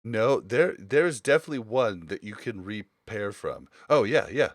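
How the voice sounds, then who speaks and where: A man hosting a casual gaming podcast, talking informally and thinking aloud in halting phrases. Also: lowish voice, animated, dry recording, studio quality. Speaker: podcast host.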